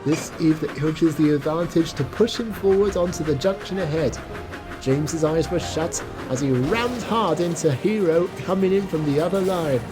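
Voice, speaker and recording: A woman's voice: sits low, normal speed. A woman reading a scripted children's story as its narrator. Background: music.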